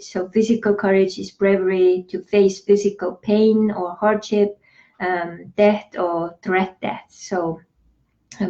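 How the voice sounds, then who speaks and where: A woman's voice: medium pitch, a little mellow. Estonian lady cohosting a chat, laughs a lot, kinda muffled sound. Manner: chatty, laughs often, reads definitions aloud. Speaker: podcast co-host. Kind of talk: casual chat.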